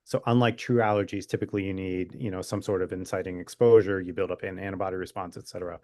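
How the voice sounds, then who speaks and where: A man's voice: low-pitched, steady tone, quick pace, a little mellow, no echo, clean studio sound. A man speaking as a physician guest expert in an interview, explaining in an informal, conversational way with wry humor.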